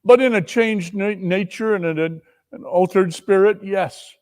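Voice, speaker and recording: Man acting jilted young woman, slightly high pitch, solemn, halting, earnest, storybook drama. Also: a little mellow. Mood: sad, resolute. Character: sorrowful, resolute young woman, jilted fiancée.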